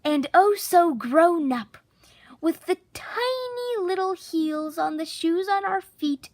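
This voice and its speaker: High, animated woman reading a storybook. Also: no echo. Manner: theatrical, drawn-out emphasis on key words. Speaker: picture book narrator. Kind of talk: expressive children's storybook read-aloud.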